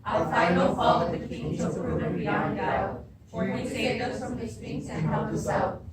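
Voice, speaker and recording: A woman's voice: mid-range voice, unhurried, close to an everyday voice. A young woman acting a cautious, peacemaking chorus of citizens in stage readers' theatre, with a declamatory delivery. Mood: conciliatory. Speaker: drama student. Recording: roomy, distant mic, loud crowd noise.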